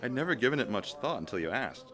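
Actor as bright, animated young soccer fan. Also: male voice, mid-range voice, fast talker. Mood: bantering. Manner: bantering, animated. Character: cocky, passionate young soccer fan and joking buddy. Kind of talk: audio drama acting.

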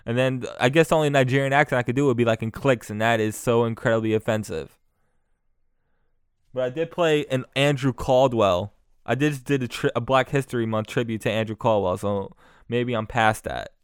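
A man's voice: medium pitch, fairly quick, clean tone, no echo, studio quality. A young man, hosting his own podcast in a loose, profane, mocking solo rant full of restarts. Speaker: podcast host.

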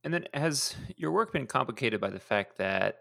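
A man's voice: mid-range voice, expressive, moderate pace, creaky, a bit bright, no echo, clean studio sound. A man hosting a podcast, asking long, conversational, rambling questions. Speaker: podcast host. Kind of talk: interview questions.

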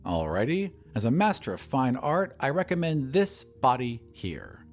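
Audio drama: gloomy old male painter, played by a male narrator, cynical, blunt, mocking, animated pitch over music. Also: measured pace. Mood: mock formality. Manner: sarcastic, gruff, blunt.

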